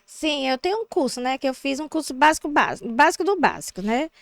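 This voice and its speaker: A middle-aged woman, an English teacher chatting as a podcast guest, telling stories in a lively, teasing way. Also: high voice, fast talker, gravelly, no echo, studio quality. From Teresina, Brazil. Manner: animated storyteller, teasing. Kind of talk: casual podcast chat. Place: studio.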